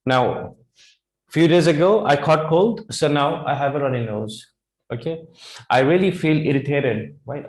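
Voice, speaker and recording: A man in his thirties with an Indian English accent, teaching vocabulary at a whiteboard. He repeats phrases slowly and keeps checking in with "right?". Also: medium pitch, a little mellow. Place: classroom in front of a whiteboard. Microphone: lapel mic. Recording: echoey classroom.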